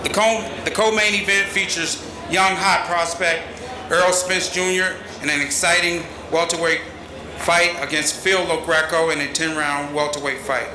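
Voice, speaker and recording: A man's voice: fairly high pitch, fairly even intonation, normal speed, a crowd in the background. A middle-aged man reading scripted announcements as emcee at a podium. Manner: formal, scripted delivery. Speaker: press conference emcee. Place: large arena press conference stage. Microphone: podium microphone. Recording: echoey hall with noise.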